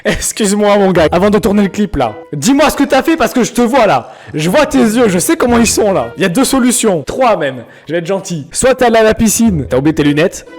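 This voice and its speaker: A man's voice: high voice, big pitch swings, a little fast, few pauses, faint music behind. A man from France doing casual, playful live commentary on rap songs, full of slang and self-mockery. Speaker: streamer reviewing viewers' rap songs.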